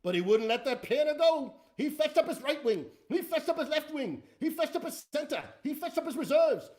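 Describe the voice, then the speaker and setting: A man playing an excitable rustic tale-spinner with a rural Southern American accent, in a theatrical, folksy comic recitation. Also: very high voice, brisk, voice pushed up. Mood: frenzied exuberance. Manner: folksy, exuberant, building declamation.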